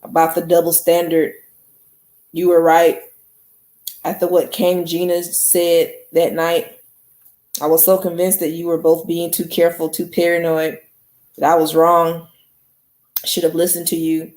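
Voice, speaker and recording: A contrite young man owning up to his mistakes in a halting, earnest voice, as a woman reads him aloud. The voice sits a little low, and the room adds a noticeable echo around it. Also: monotone, darkish tone, close to an everyday voice. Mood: remorseful. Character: remorseful young man apologizing to a friend. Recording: roomy echo.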